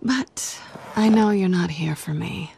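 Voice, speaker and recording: A woman's voice: fairly low pitch, gravelly, dark tone, slight background noise. An actress playing a sly, flirtatious cat burglar in her thirties, confident and teasing, in a game drama. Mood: playful, teasing. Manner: teasing, sultry, unhurried.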